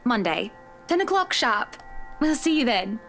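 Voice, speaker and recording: A haughty, moneyed lady in a visual novel game. Her voice sits very high, with airy, condescending manners and refined wording. Game music plays behind her. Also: sing-song, measured pace, fairly bright tone, heavily acted. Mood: breezy, condescending. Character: haughty, breezy wealthy lady, adult, dismissive.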